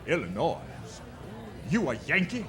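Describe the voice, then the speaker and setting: A man's voice: on the high side, huge pitch swings, measured pace, a crowd in the background. A man playing a taunting, menacing bushwhacker in a western radio drama, with a sly drawl. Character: mocking, menacing male western bushwhacker and bully. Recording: live stage with audience noise.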